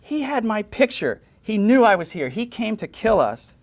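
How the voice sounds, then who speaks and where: Man acting urgent, high-pitched alarmed companion. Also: big pitch swings, moderate pace. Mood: betrayed, alarmed. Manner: urgent, insistent. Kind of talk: dramatic movie scene reading.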